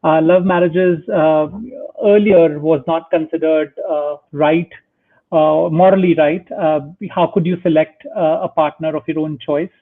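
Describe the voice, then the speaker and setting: A man's voice: fairly high pitch, steady tone, a little slow. A man from India, a guest in a remote interview, speaking in a friendly way with frequent hesitations and fillers. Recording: tinny phone-like line.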